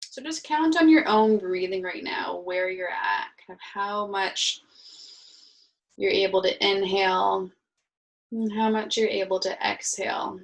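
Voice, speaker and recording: Female meditation instructor, mid-pitched with noticeable vocal fry, soothing and unhurried, giving gentle, informal guidance. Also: rough recording.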